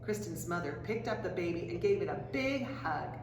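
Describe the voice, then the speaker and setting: Animated woman reading in an echoey space. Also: lowish voice. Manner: expressive, dramatic, drawn-out emphasis on key phrases. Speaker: children's storybook reader. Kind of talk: animated read-aloud storytelling. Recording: roomy echoing hall, distant mic, faint noise.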